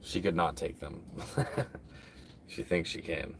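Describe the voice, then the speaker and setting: A man's voice: low-pitched, slow pace, gravelly, noisy background. A man hosting a casual livestream, rambling to his viewers with lots of fillers. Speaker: livestream host.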